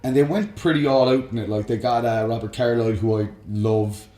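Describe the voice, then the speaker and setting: A man's voice: mid-range voice, a little fast, warm tone, echoey room. A man co-hosting a casual discussion show, explaining things in a rambling, enthusiastic way. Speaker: co-host of a film and games discussion show. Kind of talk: casual banter and opinionated chat. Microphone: close microphone on a stand.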